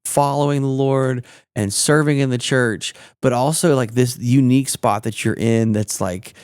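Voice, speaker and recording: A man's voice: medium pitch, a little mellow, clear, resonant tone, no room sound, clean studio sound. Laughing easygoing man hosting a podcast. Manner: playful, laughs often, quick back-and-forth. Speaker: podcast host. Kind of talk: casual interview chat. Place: garage at a house.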